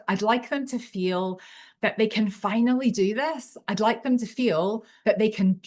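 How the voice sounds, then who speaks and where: A woman with a Belfast accent giving a live online tutorial, talking in an enthusiastic, chatty and unpolished way over a computer microphone. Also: mid-range voice. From Northern Ireland. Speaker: webinar presenter.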